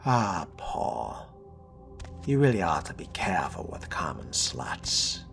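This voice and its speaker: A man's voice: sits low, big pitch swings, slow pace, creaky, a bit bright, whispered, faint music behind, heavily acted. A man voicing a haughty, sardonic vampire fledgling with pompous, formal phrasing in a role-played video game. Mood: sardonic, musing. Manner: pompous, dryly mocking, formal wording. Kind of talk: in-character gameplay monologue.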